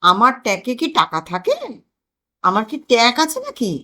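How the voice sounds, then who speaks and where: A woman voicing an indignant, sharp-tongued wife who protests and questions in a comic audio story. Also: a little high, very animated, normal speed.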